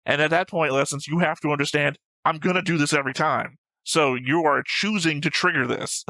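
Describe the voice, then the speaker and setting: A man's voice: on the high side, fairly quick, sharp tone, no room sound, rough recording. A man co-hosting an anime show in casual, opinionated discussion, argumentative and emphatic, swearing freely. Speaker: co-host of an anime reaction show.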